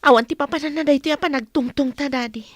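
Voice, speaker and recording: A woman's voice: high-pitched, fast talker, no echo, clean studio sound, close to an everyday voice. An actress playing a headstrong, hurt young woman in a radio drama. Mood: upset, pleading.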